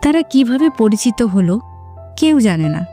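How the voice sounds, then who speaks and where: A woman narrator reading a scripted romantic story in a poetic, measured way. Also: a little high, big pitch swings, mellow, faint music behind.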